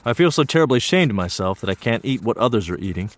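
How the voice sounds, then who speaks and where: An actor narrating as an earnest young student, telling his story in an earnest, wry and lively way. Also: mid-range voice, rapid-fire, no echo, lightly acted. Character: earnest young Japanese American male student. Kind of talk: one-man stage play narration.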